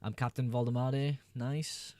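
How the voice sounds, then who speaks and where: A man's voice: mid-range voice, fairly even intonation, unhurried, darkish tone, no echo. A young man hosting a casual livestream, reading viewers' chat messages aloud and answering them in a laid-back way. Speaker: livestream host.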